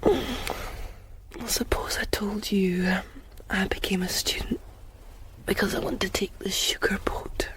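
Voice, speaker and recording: A woman's voice: medium pitch, steady tone, a little slow, long pauses, in a whisper, natural voice, low-quality recording. An actress playing a teasing young woman student from Greenock, with a West of Scotland accent. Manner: teasing, soft and hushed.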